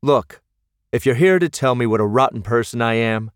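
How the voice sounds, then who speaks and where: A man's voice: medium pitch, lively intonation, moderate pace, clean tone, no echo, clean studio sound, lightly acted. A man voicing a defensive young man grieving his friend, speaking curtly. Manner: curt, defensive.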